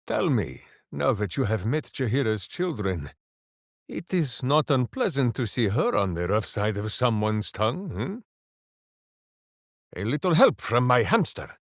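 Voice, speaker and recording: A hulking, earnest ranger from a fantasy video game who refers to himself in the third person and makes grand heroic proclamations about good and evil. His delivery is slow and deliberate, and his pitch swings widely between booming highs and low rumbles. The sound is dry and close, with no room around it. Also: big pitch swings, no room sound. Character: hulking earnest male ranger warrior with a pet hamster. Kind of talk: game voice lines.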